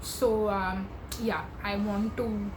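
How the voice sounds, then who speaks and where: A woman, chatting to camera as a beauty vlogger in a gushing, filler-heavy way, her voice going hoarse. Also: mid-range voice, little pitch movement, slow pace, a little mellow, echoey room, slight background noise. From India. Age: 20s. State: losing her voice. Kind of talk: casual makeup chat to camera.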